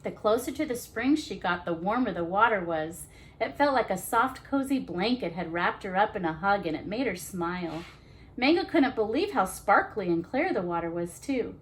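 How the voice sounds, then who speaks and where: A woman's voice: mid-range voice, a bit bright, slight background noise, rough recording. A woman hosting a children's storytime, narrating expressively and adding casual asides. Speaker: children's storytime reader and host.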